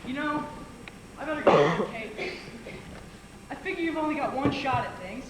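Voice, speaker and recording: A brash, goofy young guy acted by a young man in a stage comedy, declaiming like a mock preacher. His high voice swings around freely, echoing in a noisy theater recording. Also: animated, normal speed. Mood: comic, preachy. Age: young adult. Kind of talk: amateur stage comedy acting. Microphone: distant audience camcorder. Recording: echoey stage, noisy camcorder audio.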